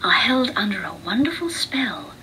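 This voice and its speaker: A woman narrating a children's read-along storybook with deliberate, expressive phrasing. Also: mid-range voice, slight background noise, poor audio.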